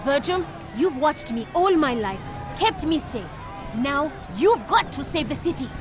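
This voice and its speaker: An actress playing a plucky, determined teenage daughter, urgent and feisty, in a video game audio drama. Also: female voice, high-pitched, expressive, a little slow, over loud music.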